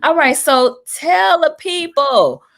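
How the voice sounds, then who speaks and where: A woman's voice: high-pitched, expressive, takes it slowly, gravelly, a bit bright. A woman hosting a live-streamed interview show, upbeat and greeting viewers by name. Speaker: talk show host.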